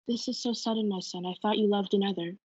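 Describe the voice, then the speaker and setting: A woman's voice: medium pitch, fairly even intonation, fast talker, a little mellow, breathy edge, no room sound, lightly acted. A young woman reading a cautious, fatherly friar in amateur reader's theatre. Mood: surprised. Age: teenager. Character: cautious fatherly male friar giving counsel. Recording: dull lo-fi audio.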